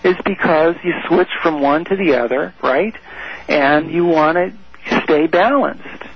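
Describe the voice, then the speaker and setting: A man's voice: on the high side, unhurried, vocal fry, breathy edge, dry recording, muffled recording. A man as a guest expert on a radio talk show, explaining ideas step by step with many "you know"s.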